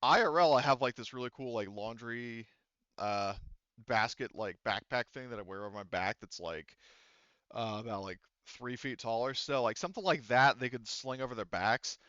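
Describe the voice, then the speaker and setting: A man speaking casually and haltingly as a game master, often rewording himself as he explains and narrates a tabletop game. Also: mid-range voice, pushed and bright, smooth, no echo, dull audio. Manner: halting, self-correcting, informal with swearing.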